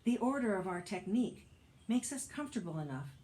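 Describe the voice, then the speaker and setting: A woman reading a personal essay aloud as a narrator, with a wry, measured storytelling delivery. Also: medium pitch, animated, dark tone, a little hiss or hum. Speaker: audiobook narrator, actor and author reading an essay.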